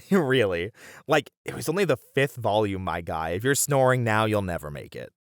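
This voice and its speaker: A man's voice: lowish voice, big pitch swings, moderate pace, no echo, clean studio sound. A man playing a friendly young bunny boy, a chatty and welcoming manga cafe regular, in a casual ASMR roleplay. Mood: amused. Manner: chatty, easygoing, welcoming, with casual slangy hesitations.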